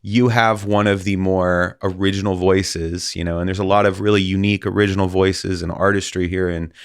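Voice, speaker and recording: A man hosting a studio interview, encouraging and quick with short agreements. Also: deep voice, level tone, clear, resonant tone, no room sound. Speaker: podcast interviewer. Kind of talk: casual interview conversation. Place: recording studio.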